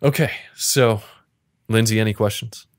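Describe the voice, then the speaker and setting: A man's voice: fairly low pitch, fairly even intonation, a little slow, no echo, clean studio sound. A man hosting a podcast as game master, mixing casual chat with dramatic interactive fiction narration.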